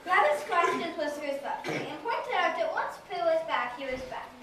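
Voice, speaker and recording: A woman's voice: very high voice, very animated, normal speed, sharp tone. A girl of about ten giving a scripted storybook narration in a readers theater performance. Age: child, about ten or eleven. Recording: distant echoey room, noisy recording.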